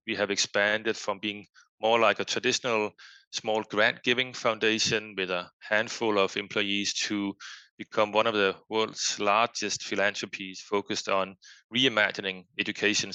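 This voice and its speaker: A man's voice: on the low side, sharp tone, clear, resonant tone, no echo, poor audio. A middle-aged man from Denmark with a Danish accent, a guest on a podcast interview, speaking thoughtfully with many fillers.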